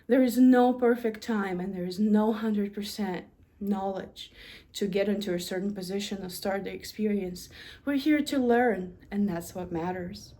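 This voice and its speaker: A woman's voice: mid-range voice, moderate pace, dark tone. A woman in her thirties from Russia with a Russian accent, a university administrator giving an earnest, prepared personal story talk, stressing her lists in rhythmic beats.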